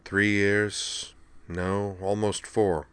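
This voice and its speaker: A man's voice: on the low side, level tone, drawn-out words, a bit bright, clean tone, no room sound. A man voicing an anxious, self-doubting Earthman in a science fiction audiobook, earnest, hesitant and subdued. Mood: miserable, subdued.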